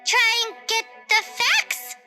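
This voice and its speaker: A woman voicing a small, excitable, bossy fairy companion in a video game, shrill and emphatic. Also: takes it slowly, full character voice. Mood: chirpy, scheming. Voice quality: shrill, squeaky. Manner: speaks of self in third person, emphatic. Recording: game music behind the voice. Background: game music.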